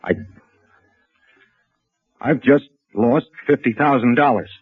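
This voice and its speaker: An actor playing a meek, weary middle-aged bank messenger in a 1940s radio drama, halting and wistful. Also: male voice, medium pitch. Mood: hesitant, anxious. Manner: halting, hesitant, repeats himself. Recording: muffled old radio recording with music.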